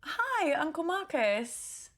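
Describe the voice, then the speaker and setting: An actress playing an anxious young niece in an interactive film, speaking hesitantly with stammers. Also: female voice, high-pitched, big pitch swings, slow, exaggerated voice. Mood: apologetic. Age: young adult. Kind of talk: naturalistic film dialogue. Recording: background music under the voice.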